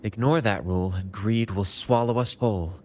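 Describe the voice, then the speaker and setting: A man's voice: lowish voice, normal speed, a little mellow, a little hiss or hum, natural voice. A man voicing a confident, theatrical young adventurer in a video game. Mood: assured.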